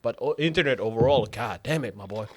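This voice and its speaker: A man in his twenties talks casually on a podcast as a non-native English speaker. His pitch moves around a lot, giving his slangy storytelling an animated feel. He swears freely. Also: fast talker. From Finland. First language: not English, speaks Arabic with parents. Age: 20s.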